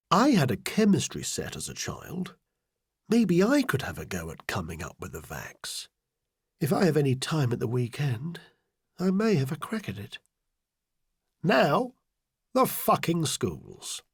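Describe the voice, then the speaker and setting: A man reading a satirical diary audiobook as a scheming, self-important male political adviser, deadpan, deliberate and sardonic. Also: mid-range voice, husky, no echo, studio quality, full character voice. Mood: dry, exasperated. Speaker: audiobook reader. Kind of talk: satirical first-person diary audiobook narration.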